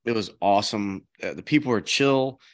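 A man's voice: on the low side, moderate pace. A man hosting a podcast with a strained voice, chatting casually and rambling with restarts. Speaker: podcast host. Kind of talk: casual chat.

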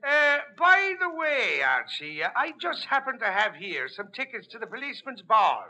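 A man's voice: very high voice, very animated, moderate pace, gravelly, sharp tone, exaggerated voice. An actor playing a blustery, sly Irish policeman with mock-official insistence in a 1940s radio comedy. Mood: slyly insistent. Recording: slightly noisy old radio broadcast.

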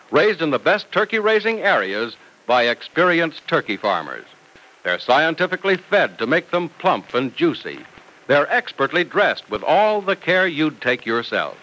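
A man's voice: fairly high pitch, bright, forceful tone, low-quality recording. A man announcing in scripted mid-century American radio style, polished and emphatic like a salesman. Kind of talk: 1940s-50s radio announcing, scripted pitch. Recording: vintage radio transcription.

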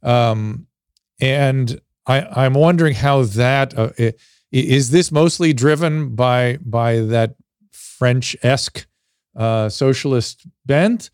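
American man, interview host, casual, reflective, tentative phrasing, medium pitch, dry recording. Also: slow, a little mellow, clear, resonant tone, no room sound. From United States.

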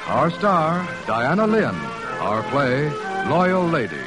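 A man's voice: on the high side, big pitch swings, takes it slowly. A man announcing on 1950s radio in a formal, emphatic scripted style. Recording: vintage radio broadcast, loud music.

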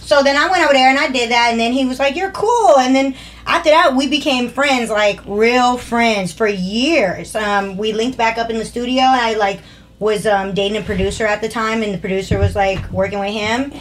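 A young adult woman guest on a casual podcast, talking in a loud, animated way and laughing easily. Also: a little high, moderate pace, a little hiss or hum, low-quality recording. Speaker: guest singer and actress.

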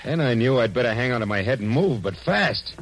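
An actor playing a tough, wisecracking private detective, clipped and sardonic, in 1940s radio drama. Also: male voice, mid-range voice, animated, brisk, a little hiss or hum, natural voice, rough recording. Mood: terse narrating. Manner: clipped, sardonic, hard-boiled. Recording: old radio broadcast recording with music.

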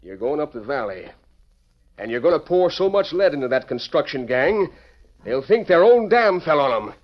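Blustering high-pitched western villain boss. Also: male voice, sing-song, moderate pace. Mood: angry bullying. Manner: blustering, bullying. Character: blustering crooked land promoter, a man, quick-tempered boss. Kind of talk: old-time western radio drama acting. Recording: muffled old lo-fi broadcast recording.

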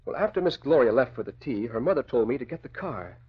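An actor playing a polite, hesitant, formal household servant with a gossiping streak in an old radio drama. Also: male voice, medium pitch, lively intonation, quick pace, slightly rough. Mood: tattling. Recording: dull, lo-fi old radio recording.

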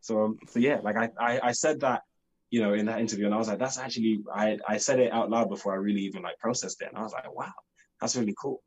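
A man's voice: fairly low pitch, fairly even intonation, fast talker, breathy edge, rough recording. A young man with a London accent, chatting eagerly as an actor guest in an interview, leaning on "like" as he talks. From United Kingdom. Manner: chatty, fills talk with "like", eager. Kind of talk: casual interview chat.

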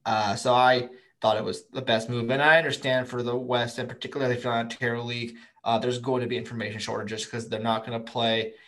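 A man's voice: medium pitch, level tone, brisk, hardly any pauses, fairly bright tone. Male guest, mid voice, circles his point with restarts. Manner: thoughtful, lots of fillers and restarts. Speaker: guest, prospect writer. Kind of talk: casual podcast interview.

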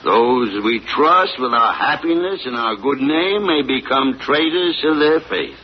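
Casting: man doing a drawling old farmer who pleads for his dog, slow and lively in pitch, dull old radio sound. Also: natural voice. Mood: pleading. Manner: slow plain rural drawl, earnest. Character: old farmer on trial, defending his hound. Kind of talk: 1940s radio acting. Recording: dull old radio broadcast, faint hiss.

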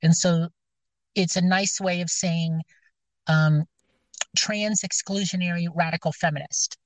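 A woman's voice: fairly low pitch, steady tone, measured pace, dry recording. A woman with a scratchy voice chatting casually, rambling and hesitant with many fillers and restarts. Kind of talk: casual chat. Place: outdoors, near her car.

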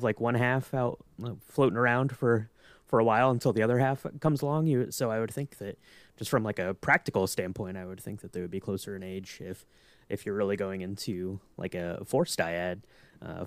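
A man's voice: on the low side, fairly even intonation, brisk, no echo. A man on a podcast panel chatting casually in a thoughtful way, reasoning aloud as he goes. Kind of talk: casual chat.

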